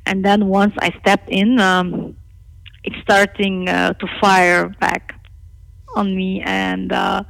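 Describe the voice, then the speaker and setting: A middle-aged woman from Lebanon whose first language is Armenian speaks as an interview guest. Her mid-pitched voice has a marked creak, and she is candid, outspoken and emphatic. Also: little pitch movement, slow pace, fairly bright tone, poor audio. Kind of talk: candid interview talk about own life.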